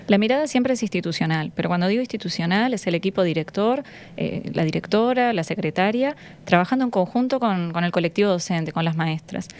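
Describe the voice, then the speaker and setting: A woman's voice: on the low side, expressive, brisk, a little mellow. A woman with a Uruguayan accent, a schoolteacher explaining things conversationally as a studio guest, often searching for words. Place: radio studio. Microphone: studio microphone.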